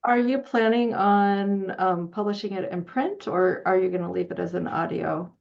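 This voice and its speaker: A woman's voice: medium pitch, steady tone, normal speed, vocal fry, darkish tone. A woman interviewing someone in casual conversation, recorded with a dull, lo-fi microphone sound.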